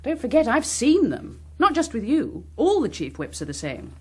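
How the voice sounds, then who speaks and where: Bitter defiant woman, political drama, husky, very animated pitch, sarcastic and clipped. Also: normal speed, heavily acted. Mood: sardonic. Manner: sardonic, biting, clipped. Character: bitter, proud, defiant young woman in Westminster. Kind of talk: naturalistic political drama dialogue.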